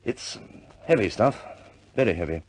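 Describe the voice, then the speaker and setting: An actor playing a grave, businesslike senior British air force officer, briefing in a deliberate, measured way in 1950s war film style. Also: male voice, lowish voice, expressive, a little hiss or hum, lightly acted.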